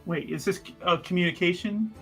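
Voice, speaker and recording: A man with a somewhat high voice talks casually through a roleplaying game as one of the players. Faint music plays behind him. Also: brisk, a bit bright. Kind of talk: casual actual-play game chat.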